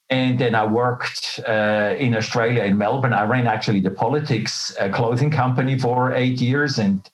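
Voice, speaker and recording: A man's voice: fairly low pitch, rough recording. Self-deprecating middle-aged man from Switzerland. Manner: self-deprecating, colloquial, candid. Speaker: interview guest, health professional. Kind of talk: conversational personal storytelling.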